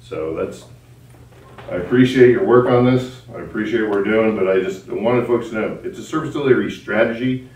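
A man's voice: on the low side, a little fast, a little mellow. A man making blunt, emphatic remarks as a council member at a public meeting. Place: indoor council chamber. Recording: echoey room, distant mic.

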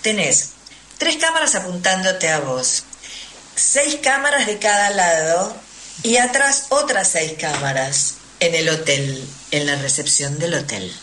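A woman's voice: lowish voice, animated, unhurried, pushed and bright. An Argentine woman recounting her experiences as an interview guest, conversational and emphatic, repeating words for stress. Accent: Argentine Spanish. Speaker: radio interview guest, traveller. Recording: faint noise, roomy echo.

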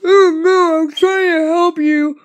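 A performer voicing a loud, bossy one-eyed green monster who offers help in a homemade puppet skit. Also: female voice, extremely high pitch, steady tone, slow pace.

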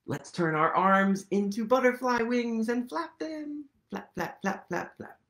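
A man in his thirties reading a picture book aloud to children, animated and playful, leading them in actions, recorded on a webcam in a small room. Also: very high-pitched, a little slow. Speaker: storytime reader.

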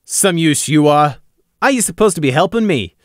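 A man's voice: high voice, big pitch swings, dry recording, clean studio sound, voice pushed up. A man voicing Pebble Mill, a nervous male pony suspect who protests his innocence. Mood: defensive. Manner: defensive, quick, protesting.

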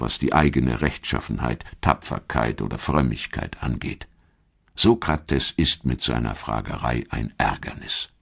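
A man with an exceptionally low voice delivers scripted documentary narration. His storytelling is measured and articulate, with lively, wide-ranging intonation that rises and falls through the sentences. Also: vocal fry.

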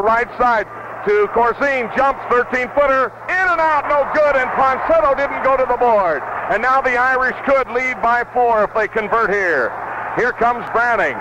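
A man calling live basketball play-by-play as a radio announcer, in short clipped phrases. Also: sits high. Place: basketball arena broadcast position. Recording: dull, noisy vintage 1970s radio broadcast.

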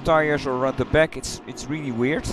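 A middle-aged man with a Dutch accent, chatting loosely through a replay of his racing game run, halting and correcting himself as he goes. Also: medium pitch, steady tone, background noise. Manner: halting, rambling, self-correcting. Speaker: sim racing streamer. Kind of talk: casual replay commentary. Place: home gaming room.

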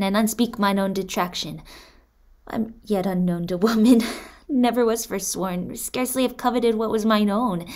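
An actress playing a guarded young exiled prince in a Shakespearean radio drama, with measured, declamatory verse. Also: female voice, mid-range voice, lightly acted.

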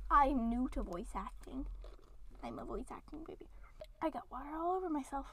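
A woman's voice: high-pitched, big pitch swings, moderate pace, a bit bright, no echo. A young woman, an amateur voice actor, chatting casually between practice takes in a self-deprecating, easily flustered way.

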